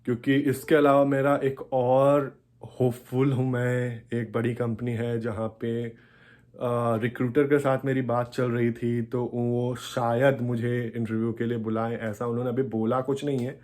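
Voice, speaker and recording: A man's voice: medium pitch, flat delivery, unhurried, darkish tone. Young Indian man vlogging casually. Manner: code-switches between Hindi and English, explanatory. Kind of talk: casual vlog chat.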